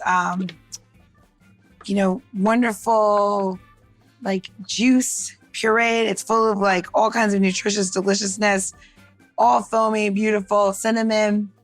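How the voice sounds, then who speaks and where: A woman co-hosting a podcast, giving kitchen tips in a chatty, informal way with casual banter. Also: mid-range voice.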